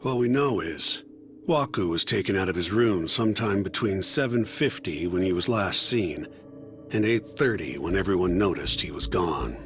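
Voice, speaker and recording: A man's voice: low voice, expressive, normal speed, fairly bright tone, big-room sound, soft background music. An actor playing a blunt, skeptical male partner who dismisses the case. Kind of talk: video game voice acting.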